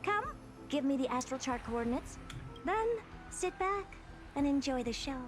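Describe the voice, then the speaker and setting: A woman's voice: sits high, animated, moderate pace, music in the background. A woman voicing a haughty, brilliant young woman genius in a video game, with a condescending, theatrical manner. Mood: showy, confident.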